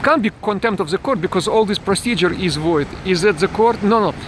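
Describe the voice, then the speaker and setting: A man's voice: high voice, brisk. A man from Ukraine, broadcasting live from a city street on a phone, answering viewers in broken English and often restarting his words. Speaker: parent livestreaming a protest. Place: outdoors on a city street with traffic. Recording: noisy outdoor phone recording with traffic.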